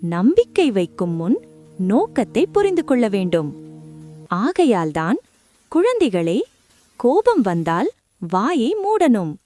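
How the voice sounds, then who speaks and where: Slow, highly animated woman storyteller. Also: dry recording, soft background music. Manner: deliberate, short phrases. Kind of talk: scripted children's story narration.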